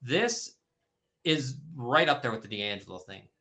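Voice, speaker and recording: A man's voice: medium pitch, expressive, a little slow, pushed and bright. A man hosting a casual live-streamed talk show, talking loosely with many restarts. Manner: loose, rambling, frequent restarts. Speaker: host. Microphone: streaming call setup.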